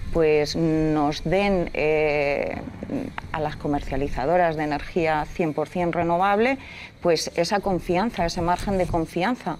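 A woman's voice: lowish voice, a little mellow, a little hiss or hum. A woman in her forties from Castilla-La Mancha, a guest in a TV studio interview, explaining earnestly with many fillers. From Castilla-La Mancha, Spain. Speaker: environmental activist guest. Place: TV studio set, leather armchair.

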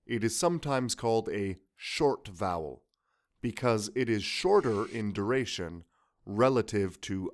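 A young Canadian man with a Canadian accent teaching pronunciation in a scripted lesson, carefully enunciating and repeating each practice word twice. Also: on the low side.

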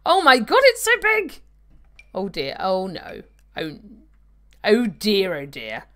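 A woman's voice: mid-range voice, huge pitch swings, takes it slowly, sharp tone. A young woman streaming a game from home, chatting casually and reading out viewer comments into a desk microphone. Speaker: gaming streamer. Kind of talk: casual live-stream chat while playing. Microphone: desk microphone on a webcam stream.

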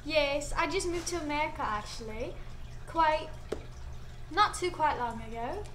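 A woman's voice: high voice, lively intonation, unhurried, a bit bright. A young woman vlogger chatting casually with strangers on video chat, putting on a British accent. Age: teenager or young adult. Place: bedroom at home. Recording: echoey, noisy room recording.

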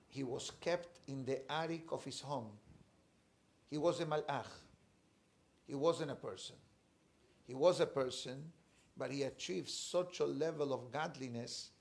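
A man in his forties, a rabbi, telling a story in a Torah class in an emphatic, animated way with pauses. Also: mid-range voice, gravelly. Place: study room with bookshelves, indoors. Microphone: fixed camera, seated at desk.

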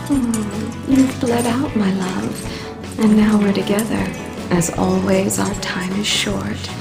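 A woman's voice: mid-range voice, steady tone, slow, vocal fry. A woman voicing Death as a solemn, seductive, languid lover in a dramatic video game. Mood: tender, sultry. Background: game music.

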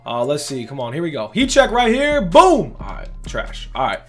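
Hoarse hyped young man gaming. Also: fairly high pitch, wildly swooping pitch, moderate pace, soft background music. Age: young adult. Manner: hyped, rambling, says "literally" and "bro" constantly. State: losing his voice. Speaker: gaming channel host. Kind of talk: casual gaming commentary. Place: indoors at a gaming setup, face cam.

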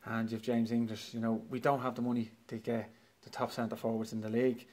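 Irish football boss, 40s, rattles through answers fast, handheld mic in a clubhouse. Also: male voice, medium pitch, level tone, darkish tone. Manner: matter-of-fact, repeats himself, frustrated but upbeat. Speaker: football manager. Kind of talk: post-match interview. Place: clubhouse trophy room, indoors. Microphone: handheld mic held by interviewer.

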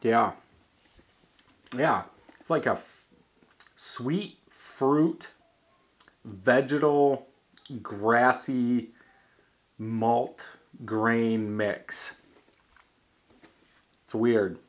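A man in his thirties giving a casual beer tasting review at a home bar, thinking aloud with halting restarts. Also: medium pitch. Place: home bar in front of a banner.

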